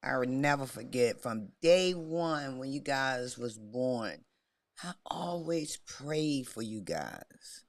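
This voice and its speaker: A woman's voice: expressive, takes it slowly. A middle-aged woman, chatting casually with her sons on a podcast. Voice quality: husky, deep. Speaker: podcast guest, mother of the host. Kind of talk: casual family chat.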